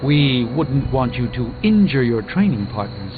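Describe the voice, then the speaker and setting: A formal, earnest sorcerer over music. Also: male voice, medium pitch, big pitch swings, narrow, tinny audio. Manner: formal, articulate, measured. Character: earnest, formal superhero sorcerer, burdened by regret. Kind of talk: video game voice acting. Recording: band-limited game audio with background music.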